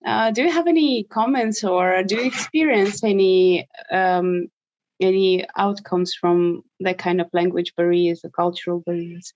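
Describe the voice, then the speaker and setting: A woman's voice: lowish voice, steady tone, measured pace, a little mellow, dry recording. Hesitant woman moderator, dull dry audio. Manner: hesitant, with many ums and uhs. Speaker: webinar moderator. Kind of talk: moderating a panel. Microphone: video call.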